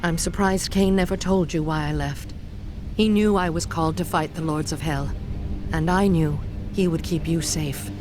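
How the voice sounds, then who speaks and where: A commanding witch, solemn and persuasive, with a somewhat low, steady woman's voice, as an actress plays her. She speaks gravely over loud cinematic music in a game cutscene. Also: moderate pace, close to an everyday voice. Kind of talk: video game cutscene acting.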